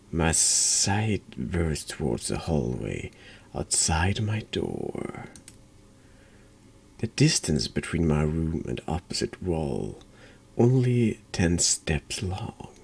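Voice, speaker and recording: A man congested with a cold, hosting a horror game playthrough in a hushed ASMR style, halting and sometimes dropping into whispers. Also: a bass voice, gravelly, slight background noise. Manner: slow, halting, drops into whispers. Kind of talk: hushed ASMR-style game commentary and read-aloud narration.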